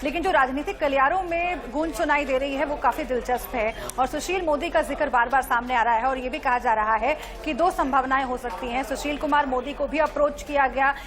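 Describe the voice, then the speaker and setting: A woman's voice: high-pitched, brisk, few pauses. A woman giving live, unscripted analysis as a news correspondent in a newsroom.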